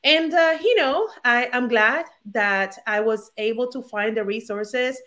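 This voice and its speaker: Energetic Puerto Rican woman, higher voice. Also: measured pace. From Puerto Rico. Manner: energetic, candid, frequent fillers and restarts. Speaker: guest, former attorney. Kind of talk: casual interview from home. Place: her home.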